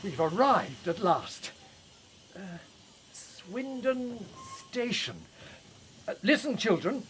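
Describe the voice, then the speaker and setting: A man's voice: sits high, very animated, slow, exaggerated voice. An actor playing a kindly, slightly hesitant male schoolteacher looking after evacuee children in a radio play. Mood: organised, slightly flustered. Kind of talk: radio drama acting. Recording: noisy crowded ambience behind voice.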